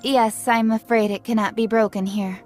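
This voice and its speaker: An actress playing a serious, composed young woman squad leader in a video game, speaking in an earnest, measured way. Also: a little high, fairly even intonation, a little mellow, natural voice. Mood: awkward. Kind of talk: English video game dub acting. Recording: music behind the voice.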